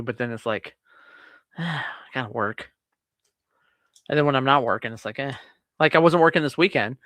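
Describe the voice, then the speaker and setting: A man co-hosting a podcast, rambling on at an easy pace from his home office. His voice sits at a middle pitch and he swears now and then. The sound is dry and close. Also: a bit bright, no room sound. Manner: rambling, laid-back, swears casually. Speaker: podcast co-host. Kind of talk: casual chat.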